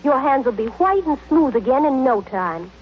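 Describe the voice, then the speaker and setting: An actress playing a sympathetic young newlywed friend in an old radio advertisement, reassuring and salesy. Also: female voice, high voice, animated, moderate pace. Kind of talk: 1930s-40s radio advertisement acting. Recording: muffled old radio recording with noise.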